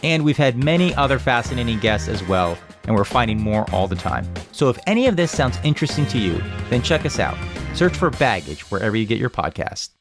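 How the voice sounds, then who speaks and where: A man reading a scripted promo for another podcast in an upbeat pitch to listeners. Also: sing-song, brisk. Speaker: podcast ad announcer. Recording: music bed behind voice.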